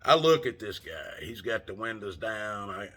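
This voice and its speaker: A man's voice: fairly low pitch, very animated, moderate pace, fairly bright tone. A man in his sixties telling a rambling, folksy story in a casual vlog, laughing often and cursing mildly. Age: 60s. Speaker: vlogger.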